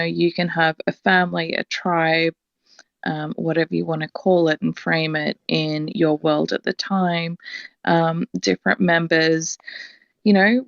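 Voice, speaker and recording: A woman with an Australian accent, sharing reflective thoughts in a casual online discussion, with many ums and pauses. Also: on the low side, vocal fry, no echo, highs cut off. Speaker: guest contributor.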